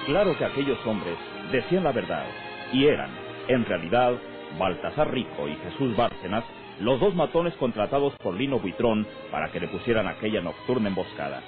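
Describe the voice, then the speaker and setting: Animated low-voiced male radio serial narrator. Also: normal speed. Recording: narrow-band old radio with loud music.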